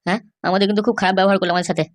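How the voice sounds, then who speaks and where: A woman's voice: mid-range voice, fairly even intonation, rapid-fire, pitched below the performer's own voice, close to an everyday voice. A woman voicing a worried, forlorn cartoon husband who whines helplessly about his missing wife. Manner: whining, helpless. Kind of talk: exaggerated cartoon dialogue.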